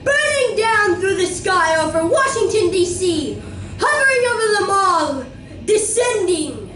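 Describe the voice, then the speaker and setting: Female narrator, acted live, grave and suspenseful radio play style, high voice, unhurried, echoing room. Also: sing-song, a bit bright, recorded from a distance, full character voice. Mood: hushed suspense. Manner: theatrical, suspenseful, with drawn-out dramatic pauses. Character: grave, awestruck female narrator of a science fiction story. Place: community room of a library, live performance. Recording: roomy live recording from afar, faint noise.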